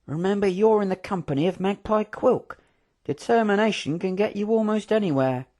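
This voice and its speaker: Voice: medium pitch, animated, normal speed, a little mellow, pitched above the performer's own voice, lightly acted. A scrappy rogue hero from a fantasy storybook, performed by a narrator. The speech is colloquial and determined, full of reassurance and stubborn optimism. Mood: reassuring. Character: scrappy, optimistic rogue called a mate-saying adventurer.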